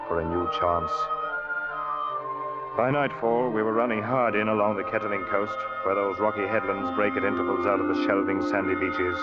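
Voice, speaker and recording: A man's voice: deep voice, natural voice. An actor playing an earnest, uneasy ship's officer telling his tale in an old-time radio drama, with dramatic intensity. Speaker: actor and first-person narrator. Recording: muffled vintage radio recording, loud music.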